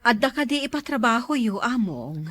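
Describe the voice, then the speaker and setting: A woman's voice: on the high side, big pitch swings, moderate pace, fairly bright tone, no room sound. A woman playing an obliging, inquisitive worker's wife and mother in an audio drama, with a deferential manner.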